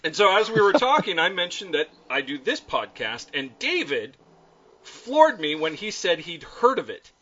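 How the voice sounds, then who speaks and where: A man's voice: high-pitched, big pitch swings, moderate pace, pushed and bright, muffled recording. A man hosting a podcast, chatting and interviewing guests in a playful, joking, self-deprecating way. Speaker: podcast host. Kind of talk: casual interview chat.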